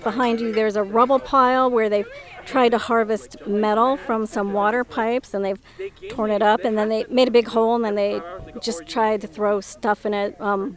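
A middle-aged or older woman from Minnesota, an archaeological surveyor explaining things informally in an interview on site. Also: medium pitch.

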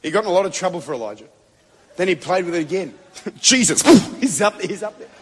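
A man preaching with a very high, bright, tense voice that carries a husky edge. He is fervent, rising into shouted declarations. His voice rings with the long reverb of a large church hall. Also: animated, quick pace. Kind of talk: Pentecostal sermon. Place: large church before a congregation.

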